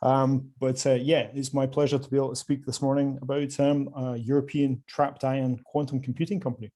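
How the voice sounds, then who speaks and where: A man's voice: mid-range voice, flat delivery, fairly quick, dark tone. A man with a Scottish accent presenting his company at an online conference, polite and often hesitating with fillers. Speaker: company sales director presenting. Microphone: video call.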